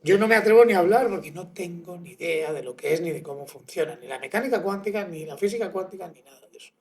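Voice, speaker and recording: Woman host, lower voice, frank and informal interviewer on a podcast mic. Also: lively intonation, quick pace. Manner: frank, informal, says tío. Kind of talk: casual interview chat.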